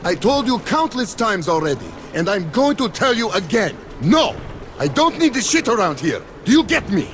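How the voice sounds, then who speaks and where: A man's voice: high-pitched, very animated, moderate pace, noisy background. A man voicing a blunt, exasperated station boss in a video game, speaking emphatically. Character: gruff, exasperated male station boss wary of trouble.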